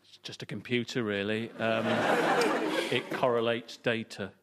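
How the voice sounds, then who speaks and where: Male actor as a terse, literal tech operator: flat answers, heavy background noise. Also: on the low side, measured pace, over a noisy crowd, lightly acted. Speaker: spoof interviewee. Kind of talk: comedy radio sketch.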